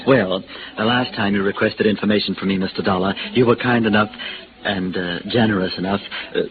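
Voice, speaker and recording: An actor playing a snobbish, fussy, over-polite hotel manager in an old-time American radio drama. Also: male voice, lowish voice, fairly even intonation, brisk, lightly acted. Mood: coy, wheedling. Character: prissy, snobbish, discreetly greedy male hotel manager. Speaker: actor in a radio detective serial. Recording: muffled, noisy vintage radio recording.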